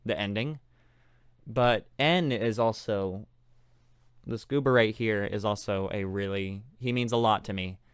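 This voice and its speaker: A man with a slightly deep, very smooth voice talks casually and earnestly. He pauses and restarts in a heartfelt fan tribute, recorded close and dry. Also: dry recording. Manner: earnest, halting. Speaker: fan contributor, aspiring voice actor.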